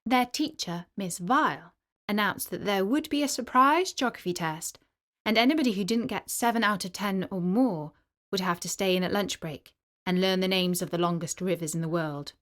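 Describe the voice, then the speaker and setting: A woman storyteller narrating a children's story in an expressive, clearly articulated storybook manner. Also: medium pitch, moderate pace.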